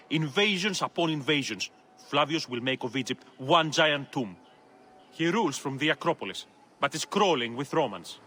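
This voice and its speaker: A resolute rebel leader from a video game, weary of tyrants. His voice is medium in pitch, bright and earnest, with faint outdoor noise behind it.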